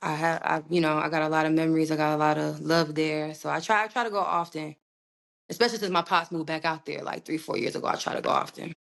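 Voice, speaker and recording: A young woman recording artist in a casual interview, talking in a slangy, animated way with plenty of swearing. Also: deep voice, quick pace, creaky. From United States, raised partly in Puerto Rico.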